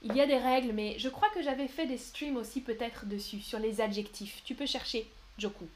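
A woman's voice: on the high side, slight background noise. A young woman hosting a live French lesson, patiently answering viewers' questions from the chat and spelling out examples. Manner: slow, clear and patient, often reading chat names aloud.

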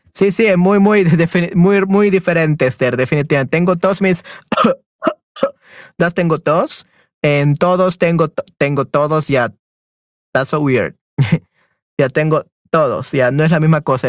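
A man's voice: fairly high pitch, dry recording, clean studio sound. A man teaching a Spanish lesson to an online group, reading quiz questions aloud and switching often between Spanish and English, repeating his affirmations. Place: online class, talking to students.

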